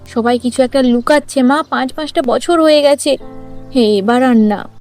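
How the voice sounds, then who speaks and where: A woman's voice: high-pitched, moderate pace, a little mellow. A woman voicing a determined, loving sixteen-year-old village girl who pleads insistently in a cartoon story. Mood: pleading, determined. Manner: pleading, insistent, repeats words emotionally. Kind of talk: dramatized cartoon story acting. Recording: music under the voice.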